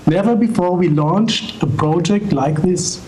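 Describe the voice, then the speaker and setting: A man with a German accent giving a deliberate, formal prepared greeting over a noisy video call. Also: high voice, a little mellow. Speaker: library staff member giving a greeting. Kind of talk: prepared speech at a launch event.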